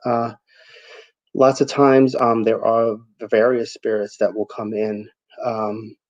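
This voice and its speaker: A man's voice: lowish voice, flat delivery, slow pace. New york guy doing psychic readings on a stream, lots of ums, kinda dull lo-fi sound. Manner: hesitant, many ums and restarts, earnest. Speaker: psychic reader, show host. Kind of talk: live-stream reading and chat with viewers.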